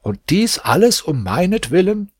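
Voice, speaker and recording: A man playing a gentle young maiden. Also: sits high, very animated, normal speed, slightly rough, no echo, studio quality, pitched above the performer's own voice, full character voice. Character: sheltered young woman, proud but tender. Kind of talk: audiobook reading.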